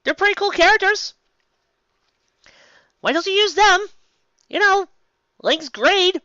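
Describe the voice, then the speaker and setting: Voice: extremely high pitch, takes it slowly, sharp tone, no room sound. A fan fiction reviewer giving a mocking dramatic reading with theatrical, exasperated commentary and sudden outbursts. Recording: dull lo-fi recording.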